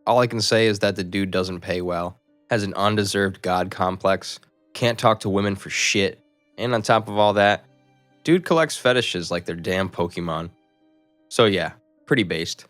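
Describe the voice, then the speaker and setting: A man's voice: sits low, normal speed, a bit bright, no echo. A man playing a blunt, slangy, earnest friend in a cartoon. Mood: sardonic. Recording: music under the voice.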